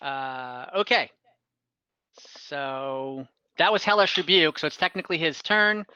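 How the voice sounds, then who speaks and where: A man's voice: fairly high pitch, steady tone, a little slow, bright, forceful tone, clean tone, no room sound, lo-fi sound. A man acting as game master of an online tabletop game, explaining rules with many hesitations and fillers. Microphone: computer mic on a video call.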